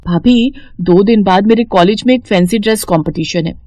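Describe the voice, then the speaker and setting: A woman's voice: medium pitch, fairly quick, dark tone, no echo, clean studio sound, lightly acted. Woman voicing an eager, gushing young college girl. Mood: earnest. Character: excitable, grateful young college girl, sister-in-law. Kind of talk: animated storybook cartoon dialogue.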